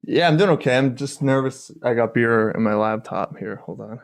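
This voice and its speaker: A man's voice: mid-range voice, normal speed, gravelly. A young man co-hosting a podcast, talking casually in an easygoing, reassuring and apologetic manner. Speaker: podcast co-host. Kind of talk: casual chat.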